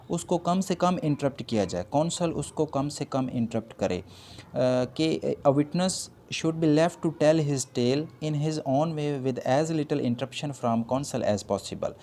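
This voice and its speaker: A man's voice: medium pitch, mellow, slight background noise. A man with a Pakistani accent giving a point-by-point law lecture, explaining didactically, repeating points in simpler words and mixing in English legal terms.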